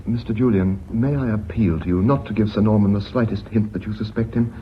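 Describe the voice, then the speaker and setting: A very breathy, rough-edged British company officer on a muffled old radio recording. He is deferential and anxious, speaking formally at a quick pace. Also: lowish voice, steady tone. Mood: cautious, pleading. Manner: formal, careful wording. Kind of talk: British radio drama acting.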